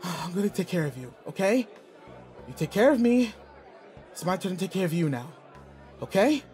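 Man acting high-voiced, gruffly caring young student bully. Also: big pitch swings, normal speed, a little mellow, soft background music, a faint crowd. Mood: flustered, frantic. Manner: brusque, swearing, emotional. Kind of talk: acted audio roleplay drama.

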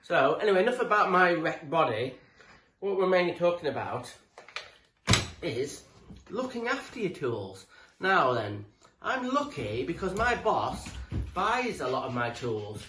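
A man's voice: fairly high pitch, big pitch swings, unhurried, bright, forceful tone. Echoey, chatty British tradesman. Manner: chatty, rambling, matey, mildly sweary. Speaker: tradesman presenting tool tips. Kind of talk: casual informal demonstration to camera. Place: living room, indoors. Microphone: camera a few feet away. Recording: echoey room, distant mic, background noise.

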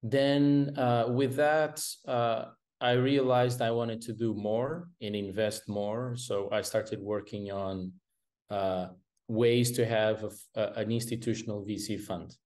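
A man speaks in a fairly low voice over a video call from a room at home. He talks in a hesitant way, full of fillers and false starts. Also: fairly even intonation, slow, darkish tone. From Portugal. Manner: hesitant, many restarts and fillers. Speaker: venture capital guest. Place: home room with painting behind.

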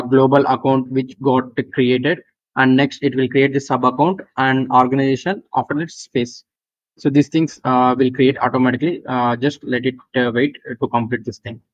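A man with an Indian accent presenting an unscripted software walkthrough, halting and repetitive as he gives step-by-step instructions. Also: mid-range voice, steady tone. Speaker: tutorial presenter. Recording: dull lo-fi voice recording.

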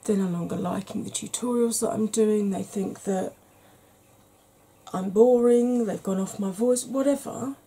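A middle-aged woman talks in a soft, unhurried ASMR manner, chatting on about all kinds of things. Her voice is mellow and slightly husky, with a relaxed warmth. She sits close to the camera in a small room at home. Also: medium pitch. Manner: gentle, rambling, chatty. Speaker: beauty YouTuber. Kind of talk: soft-spoken ASMR makeup chat.

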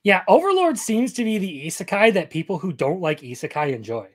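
Animated man, fairly high voice, teasing. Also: fairly quick. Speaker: podcast host. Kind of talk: casual banter.

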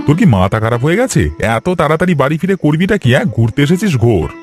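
A man's voice: a little high, very animated, fast talker, a little mellow, soft background music. A man voicing a brash, stubborn young man in a horror cartoon, insistent in manner. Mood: scoffing. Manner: scoffing, insistent.

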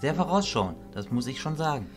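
A man's voice: fairly high pitch, big pitch swings, a little fast, faint music behind. A man acting a captive mage in a fantasy audio drama, well-spoken, dry and somewhat curt. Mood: pondering.

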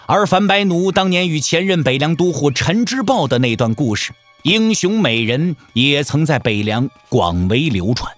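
A man's voice: a little high, animated, normal speed, hardly any pauses, faint music behind. A man narrating a martial arts audio drama in a dramatic, emphatic storytelling style.

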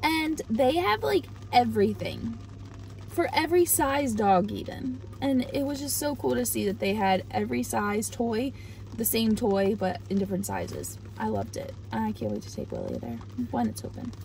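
A woman's voice: on the high side, very animated, moderate pace, darkish tone, slight background noise, rough recording. A young woman in her twenties chatting casually with her husband inside a car at night for their travel vlog. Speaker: co-host.

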